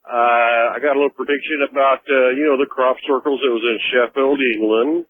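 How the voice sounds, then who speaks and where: A man's voice: medium pitch, steady tone, a little fast. An American man chatting casually as a caller to a radio show. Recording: thin phone line on radio.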